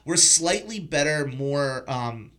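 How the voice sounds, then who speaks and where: Bright-voiced man chatting casually about films. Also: on the high side, animated, slow. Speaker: podcast host. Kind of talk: casual film discussion.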